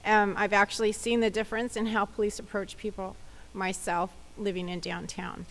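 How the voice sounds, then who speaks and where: A woman's voice: medium pitch, level tone, normal speed, rough recording. A woman community advocate giving plain personal testimony at a public hearing, telling her story in the first person. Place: government hearing room.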